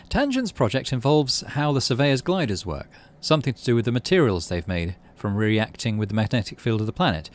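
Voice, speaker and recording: A man hosting a game playthrough, reading story text aloud and thinking through his moves in a muttering way. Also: medium pitch. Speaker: gaming channel host. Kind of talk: let's play commentary and read-aloud narration.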